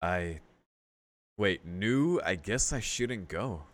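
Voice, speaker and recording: Low-voiced man doing casual game commentary. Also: animated, slow pace, dry recording, soft background music. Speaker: streamer playing and reading a visual novel aloud. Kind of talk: casual let's-play commentary and narration.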